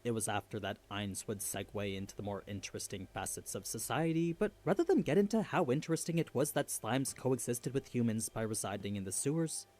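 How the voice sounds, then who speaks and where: A man's voice: medium pitch, sing-song, fairly quick, few pauses, darkish tone, dry recording. A man reading scripted explainer narration for a video.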